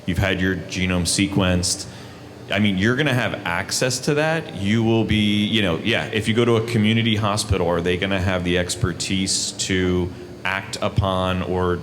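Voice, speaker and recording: Very low-voiced man explaining. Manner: explanatory, tag questions like "right?". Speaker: panelist. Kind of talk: conference panel discussion. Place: conference hall stage. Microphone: handheld microphone. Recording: noisy conference hall.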